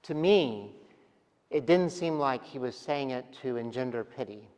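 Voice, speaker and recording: A man preaching a sermon as pastor, speaking gently and reflectively with pauses and soft trailing endings. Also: medium pitch, expressive. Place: large church sanctuary. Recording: long church reverb.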